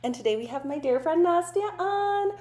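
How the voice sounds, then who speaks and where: A woman's voice: very high voice, lively intonation, dark tone. A woman hosting a podcast in a casual, chatty interview style, with informal phrasing. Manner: informal, frequent "like", quick to laugh. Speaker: podcast host, writer and coach. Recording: roomy, echoing sound.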